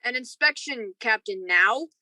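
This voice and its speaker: A woman's voice: a little high, expressive, unhurried, sharp tone, dry recording, full character voice. A woman playing a dutiful but edgy ship's lieutenant in a radio drama, questioning and uneasy. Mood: edgy, impatient.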